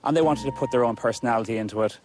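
A man's voice: mid-range voice, racing, darkish tone. A man judging a task, blunt and dismissive in his critique. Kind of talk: reality show critique.

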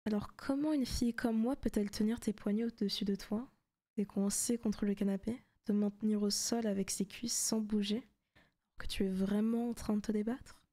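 Soft, teasing demon girlfriend acted by a woman. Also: medium pitch, fairly even intonation, normal speed, lightly acted. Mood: taunting, possessive. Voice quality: soft, often breaking into whispers. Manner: teasing, coaxing, possessive. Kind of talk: intimate ASMR roleplay.

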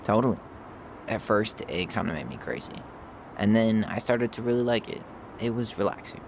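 A man's voice: on the low side, animated, normal speed, gravelly, noisy background. An actor playing a wry, nostalgic young American man in naturalistic, casual video game dialogue. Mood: calm, reflective. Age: young adult.

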